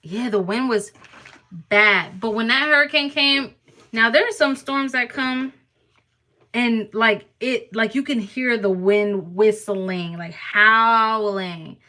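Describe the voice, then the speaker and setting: A woman's voice: on the high side, slow pace, bright, forceful tone. A woman from Harlem, chatting casually and teasingly with viewers on a live stream, laughing often. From New York (Harlem).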